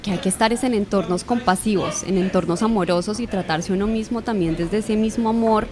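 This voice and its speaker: A woman's voice: mid-range voice, brisk, crowd noise. A woman organizer talking earnestly and encouragingly in a casual interview. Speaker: language exchange organizer.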